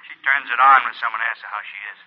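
An actor playing a brisk, matter-of-fact policeman reporting in, in a 1950s radio crime drama. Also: medium pitch, steady tone, natural voice. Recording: muffled old radio recording.

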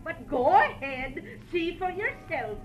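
Brassy, high-pitched scornful neighbour. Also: female voice, very animated, slow, over music, heavily acted. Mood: scornful, sardonic. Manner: sassy, sardonic. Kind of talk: 1940s radio detective acting.

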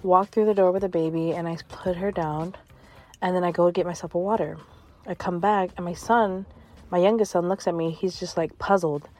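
A woman from California tells a self-mocking story about her own life. Her voice sits a little low and stays relaxed and chatty. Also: normal speed. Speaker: caller sharing a story. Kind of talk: voice message anecdote.

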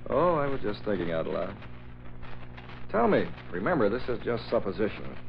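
An actor playing a calm, shrewd, fatherly newspaper boss who reasons gently and wryly in an old radio drama. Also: fairly low pitch, vocal fry. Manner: measured, gently reasoning, wry. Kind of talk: 1940s radio drama acting. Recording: muffled, slightly noisy old radio recording.

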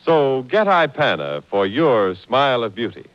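A male announcer making a 1940s radio sales pitch. His mid-pitched voice rises and falls with lively emphasis. The broadcast sounds old and muffled. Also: unhurried, soft background music.

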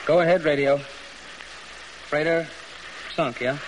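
An actor playing a businesslike sailor on bridge duty in a 1940s radio drama. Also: male voice, a little high, steady tone, a little slow, natural voice. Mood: terse, alert. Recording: noisy vintage radio recording.

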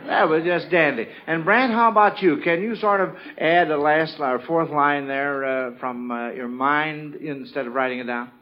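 A man's voice: fairly high pitch, moderate pace, hardly any pauses, muffled recording. A man hosting an old 1940s radio panel show, chatting off the cuff with children in a folksy, encouraging way. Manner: folksy, encouraging, addresses children as son and fellas. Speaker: quiz show host. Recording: old radio broadcast with faint audience.

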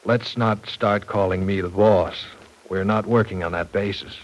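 An actor playing a courteous, modest university professor in a 1940s radio soap opera, speaking with gentle, hesitant formality. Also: male voice, lowish voice, fairly even intonation, moderate pace, close to an everyday voice, low-quality recording. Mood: earnest, explaining. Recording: old radio drama recording.

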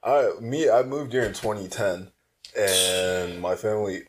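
A young man from California tells casual college stories, laughing as he goes. His voice is on the low side for a man, easy and unhurried. He sounds like a student chatting with a friend on a podcast. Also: a little hiss or hum. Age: young adult. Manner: relaxed storyteller, laughs at his own stories. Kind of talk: casual chat.

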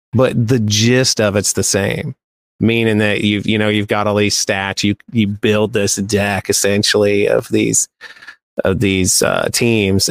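Low-voiced man, close dry sound. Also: no room sound. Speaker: podcast co-host giving a news report. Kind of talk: casual pop culture podcast chat.

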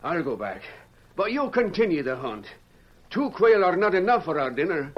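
Kindly old scottish falconer, thick burr, lively swooping voice, dull old radio sound with faint hiss. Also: male voice, sits high, normal speed. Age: older. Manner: hearty, burring, fatherly. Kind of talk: 1940s radio acting.

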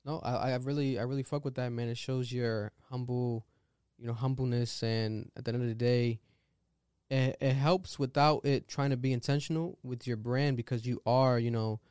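Interview host, man; smooth clear voice, slangy and hyped, dry close mic. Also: medium pitch, fairly even intonation, normal speed, darkish tone, no room sound. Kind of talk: casual interview talk.

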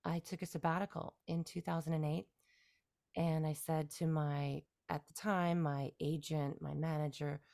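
A woman from Canada in her forties, a musician guest talking through her career in a relaxed, rambling way with fillers and restarts. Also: sits low, normal speed, vocal fry. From Canada, near Toronto. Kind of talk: casual interview conversation.

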